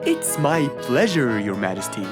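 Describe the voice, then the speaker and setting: A woman voicing a clever, kindly male fox inventor in a children's animated bedtime story, speaking in an expressive, helpful way. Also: extremely low pitch, moderate pace, over loud music, voice pushed down. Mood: helpful, earnest.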